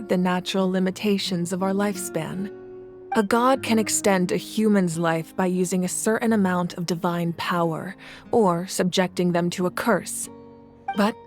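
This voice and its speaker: A woman playing the calm, grave ruler of a fire nation in a video game, speaking in a measured, formal way. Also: lowish voice, close to an everyday voice. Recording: game audio with loud music.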